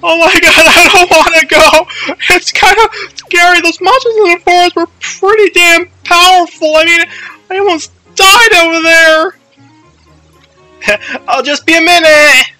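Man playing anxious boy adventurer, boastful, whiny, high-pitched, piercingly bright, video game story. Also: measured pace, faint music behind, heavily acted, rough recording. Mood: panicked. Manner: whiny, nervous. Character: anxious boy hero, boastful yet easily frightened.

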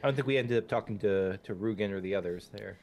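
A man's voice: fairly low pitch, fast, darkish tone, dull audio, faint music behind. A man casually chatting as a co-op player during a game session. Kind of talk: casual gaming chat.

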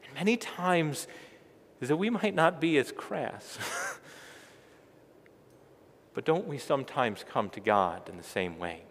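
A man's voice: mid-range voice, lively intonation, takes it slowly, long pauses. A middle-aged man preaching a sermon as a pastor, emphatic and repeating phrases as he swells and falls in volume. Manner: emphatic, repetitive phrasing, swelling loud then dropping soft. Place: large church sanctuary, indoors. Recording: long church reverb.

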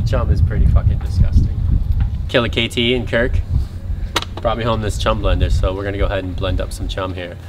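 A man's voice: lowish voice, heavy background noise. A young man chatting casually to camera on a sailboat deck, laid-back and swearing with a laugh. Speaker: sailing vlog crew member. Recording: music playing behind.

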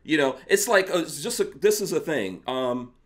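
A man's voice: fairly high pitch, animated, normal speed. A man in his forties from Guyana hosting a casual livestream from a home studio, loose and rambling, often laughing. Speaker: host. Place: home studio with sticker wall. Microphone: headphones with desk mic.

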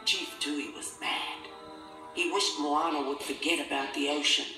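A man narrating a scripted children's story in a measured, expressive storytelling manner. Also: on the high side. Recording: roomy, distant, music behind.

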